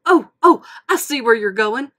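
A woman voicing an excitable, folksy male tow truck in a storybook read-aloud, loud and bouncy with country slang. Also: high-pitched, unhurried, pitched above the performer's own voice, heavily acted. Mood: excited.

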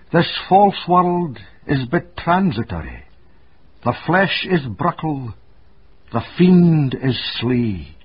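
An actor with a Scottish accent solemnly reciting an old Scots lament in a measured way for a radio drama. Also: male voice, mid-range voice, slightly rough, full character voice. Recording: muffled lo-fi radio recording.

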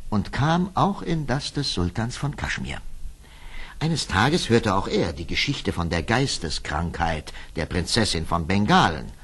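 A man narrating a scripted fairy tale with expressive, dramatic storytelling. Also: on the low side, husky.

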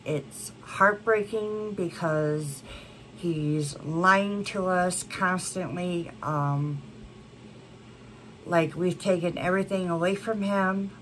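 A woman's voice: sits low, a bit bright, slight background noise, low-quality recording. A woman in her thirties chatting to camera as a beauty vlogger, congested from a cold, rambling with many fillers. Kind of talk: casual chat to camera. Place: home room with a plant wall and neon signs.

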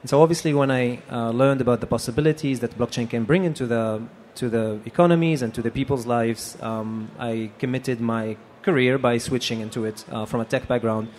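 A man's voice: medium pitch, a little fast, few pauses. Guy on a panel stage, handheld mic, easygoing with lots of ums, faint hall noise. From Tunisia. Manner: relaxed, conversational with many fillers. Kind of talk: conference panel talk. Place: large expo hall stage.

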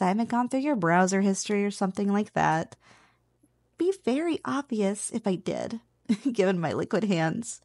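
A woman's voice: medium pitch, darkish tone, no echo. A woman playing a playful, teasing slime girl roommate in a casual, chatty ASMR roleplay. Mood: teasing, curious. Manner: teasing, chatty, conversational with chuckles. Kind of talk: ASMR roleplay, one-sided casual conversation.

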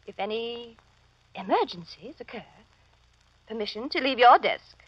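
An actress playing an officious, self-important office supervisor with formal, speech-making delivery in a vintage radio comedy. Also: female voice, fairly high pitch, expressive, normal speed, fairly bright tone, breathy edge, no echo. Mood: stern, officious. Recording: muffled, lo-fi old radio recording.

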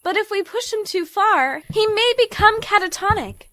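Woman acting a very high, gentle soldier girl. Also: lively intonation, normal speed, slight background noise. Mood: concerned, polite. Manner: polite, soothing. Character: gentle, reassuring young female soldier. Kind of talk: amateur audio drama acting. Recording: loud dramatic music under voice.

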